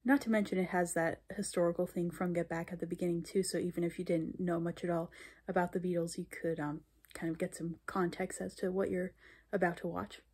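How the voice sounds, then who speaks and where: A woman's voice: on the low side, little pitch movement, fairly quick, a little mellow, slightly rough. A young woman in her twenties chatting in a casual vlog recap, full of fillers and restarts, recorded on a handheld phone in a small bedroom. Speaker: vlogger. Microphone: phone camera held close.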